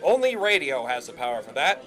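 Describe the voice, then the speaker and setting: A man's voice: medium pitch, big pitch swings, a little fast, a bit bright. A man playing a cocky young 1920s radio announcer in an audio drama, pompous, florid and theatrical. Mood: haughty, boastful.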